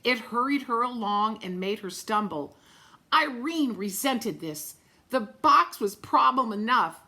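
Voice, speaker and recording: A woman's voice: fairly high pitch, lively intonation, measured pace, pushed and bright. A woman reading a picture book aloud expressively, with dramatic phrasing and little asides to young listeners. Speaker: storybook reader.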